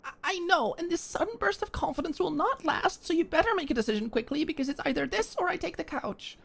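A young woman in an ASMR roleplay, talking shyly and stammering as a flustered neighbor, sometimes dropping to a soft whisper. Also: extremely high pitch, expressive, fast, gravelly. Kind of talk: ASMR roleplay, one-sided dialogue.

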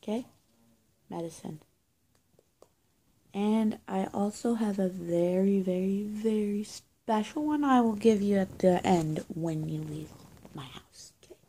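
Young woman for improvised witch roleplay: unhurried, halting delivery, soft dark tone, breathy and often near a whisper. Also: medium pitch. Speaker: roleplay video creator. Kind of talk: improvised roleplay to camera, playing a witch caring for someone hurt. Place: small indoor room with bare walls. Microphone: phone or webcam at arm's length.

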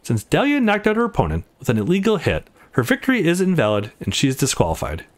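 A man voicing a brisk, official competition announcer in a game, with declamatory delivery. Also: on the high side, wildly swooping pitch. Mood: formal.

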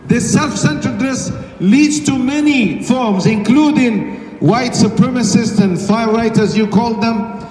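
A man's voice: very high-pitched, a little hiss or hum. A middle-aged man, an imam, giving an urgent, preacherly speech at a vigil, speaking into a handheld mic in a crowded outdoor city square. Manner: urgent, preacherly, rises to shouts. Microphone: handheld mic through a PA, recorded from a phone in the crowd. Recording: echoey PA sound from a distance, crowd murmur.

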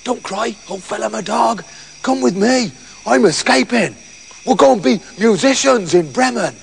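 A man voicing a brainy old donkey in a children's story, hushed and reassuring. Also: extremely high pitch, animated, moderate pace, gravelly, long reverb tail, slight background noise, heavily acted. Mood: hushed, kindly. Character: brainy, kindly old donkey plotting escape.

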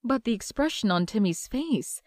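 Woman doing a wry, wistful young narrator with a lively, animated pitch. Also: normal speed, dry recording, clean studio sound. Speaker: narrator of her own past. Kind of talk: comic dub narration.

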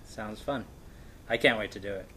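A man's voice: lowish voice, moderate pace, sharp tone, slightly rough. An American man in his thirties, speaking casually as a host introducing things and chatting with a guest. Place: indoors on a couch in a workshop room.

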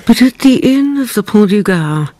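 An actress playing a grave storyteller, narrating a radio drama in a deliberate, theatrical manner. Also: female voice, medium pitch, lively intonation, dark tone, lightly acted, low-quality recording. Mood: ominous.

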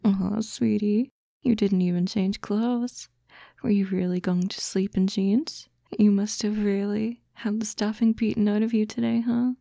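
A doting, protective fox-girl girlfriend, played by a woman, coaxes and gently teases in an intimate ASMR roleplay. Her voice is soft and breathy, with a gentle, even melody and a touch of creak. She speaks very slowly, close to the mic. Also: mid-range voice, no echo. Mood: tender, concerned. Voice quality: soft, breathy, often whispering. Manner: slow, coaxing, cooing. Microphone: close, dry microphone.

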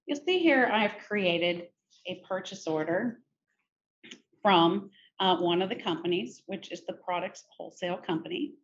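A middle-aged woman presenting a software demo in a conversational, informal step-by-step tutorial style. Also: fairly low pitch, lively intonation, measured pace. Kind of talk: conversational screen-share tutorial. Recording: muffled, noisy screen-recording audio.

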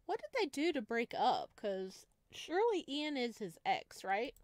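A woman's voice: fairly high pitch, sing-song, unhurried, dry recording. A woman streamer reading a visual novel aloud expressively and dramatically, adding her own commentary, close to the microphone.

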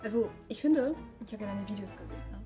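A young adult woman making halting, improvised date conversation in a small studio. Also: medium pitch, lively intonation, music in the background. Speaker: performer in a comedy dating video. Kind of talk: improvised awkward date chat. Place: small indoor studio set.

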